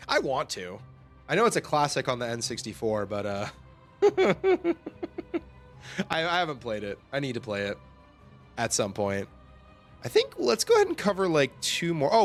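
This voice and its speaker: A young man hosting a casual livestream, rambling and laughing often, his voice getting hoarse from talking. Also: mid-range voice. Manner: chatty, rambling, laughs often, lots of fillers. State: losing his voice, throat-clearing. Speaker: livestream host. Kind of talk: casual game overview chat.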